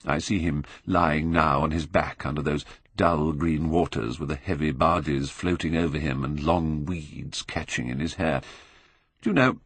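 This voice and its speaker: Casting: male narrator playing jaded British lord, older, epigrammatic, low creaky voice, elastic intonation. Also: no echo, exaggerated voice. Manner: drawling, epigrammatic, blasé. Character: languid, witty, cynical Victorian aristocrat, older gentleman.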